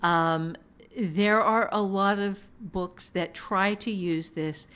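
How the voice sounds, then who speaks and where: Deliberate woman explaining, medium-pitched voice. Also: steady tone, a bit bright, clean tone. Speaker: podcast co-host. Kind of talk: casual writing-advice discussion.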